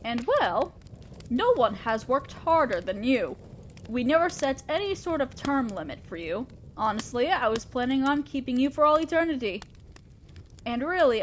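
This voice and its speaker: A woman's voice: fairly high pitch, a little hiss or hum, close to an everyday voice. A woman playing a fair-minded but ambitious demon lord boss in a scripted audio drama, speaking formally and directly to an underling, with a sly teasing streak. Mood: earnest, solemn.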